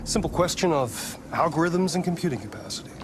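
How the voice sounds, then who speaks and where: An actor playing a calm, provocative male genius inventor in a game cutscene, speaking in a languid, philosophical way with soft taunts. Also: fairly high pitch, fairly quick, background noise, natural voice. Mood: musing. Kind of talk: video game cutscene acting.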